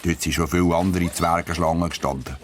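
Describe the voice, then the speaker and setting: A man narrating a children's audio story expressively, drawing out key words. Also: low voice. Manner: expressive storytelling, drawn-out emphasis.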